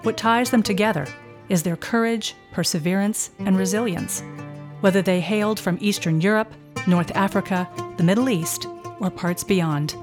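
A woman narrates a documentary podcast from a script. Her delivery is steady and composed, at a mid pitch. Faint music plays beneath her voice. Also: moderate pace.

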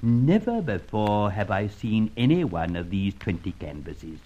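An actor playing a fussy, courteous art expert in an old radio drama, speaking in a hesitant, stammering, genteel way. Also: male voice, lowish voice, very animated, a little slow, dark tone. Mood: pondering. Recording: muffled old radio recording.

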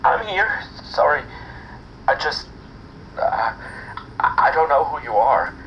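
An actor playing a wary, bewildered man on the telephone in an audio drama, halting and stammering. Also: animated, fairly bright tone. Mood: bewildered, apologetic. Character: bewildered, wary man answering a stranger's call. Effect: telephone filter. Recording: muffled phone line with faint rumble.

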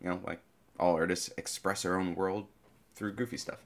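A man talking casually as a podcast guest, joking and halting as he explains things. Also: low-pitched, fairly even intonation. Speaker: podcast guest, show creator. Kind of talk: casual interview talk.

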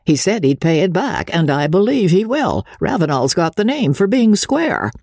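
A man's voice: high voice, big pitch swings, normal speed, darkish tone, dry recording, studio quality, pitched above the performer's own voice, heavily acted. A man reading a novel aloud voices a stout, world-hardened middle-aged woman who speaks in a brusque, matter-of-fact way.

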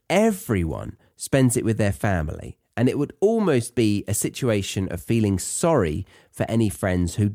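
A British man with a fairly low, mellow voice that swings expressively up and down. He talks like a solo podcast host, chatty and playful, slipping in tongue-in-cheek asides. The sound is close and dry. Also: no echo. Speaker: podcast host teaching English to non-native learners. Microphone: close podcast microphone in a dry room.

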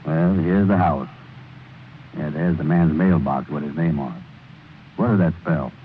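A man's voice: very deep voice, a little slow. An actor playing a grumbling, blustery man with folksy, ungrammatical dialect, in 1930s radio comedy style. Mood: reluctant. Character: grumbling, blustery cab rider, wary of spending money. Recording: crackly 1930s radio broadcast, narrow band.

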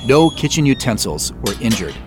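A man with a mid-range voice and lively, varied intonation delivers scripted commentary. He explains in a measured way, like a creator presenting his work, with faint music underneath. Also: medium pitch. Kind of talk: scripted behind-the-scenes commentary.

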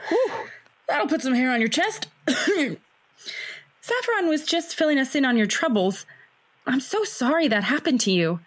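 A woman's voice: high-pitched, animated, moderate pace, pauses often, breathy edge. A woman playing a brash, determined young rebel in an audio drama, blunt and impassioned in her delivery. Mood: friendly.

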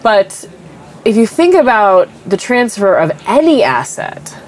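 A woman's voice: medium pitch, sing-song, measured pace, slight background noise, low-quality recording. A woman with a cold and a stuffed-up voice, a company executive answering interview questions and explaining technology through everyday comparisons, with frequent ums.

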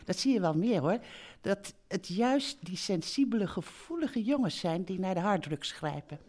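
A woman's voice: mid-range voice, animated, normal speed, darkish tone, lightly acted. A woman playing a blithe, rambling elderly mother who runs a holiday park, in a comic radio play recorded live in a theatre. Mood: fond, matter-of-fact. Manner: rambling, breezily matter-of-fact, doting. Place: theatre stage before a live audience.

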